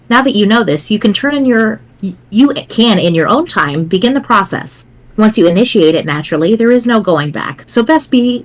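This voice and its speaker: Woman reading as a pedantic, lowish-voiced young explainer. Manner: formal, wordy, measured. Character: pedantic, precise young explainer writing a game walkthrough.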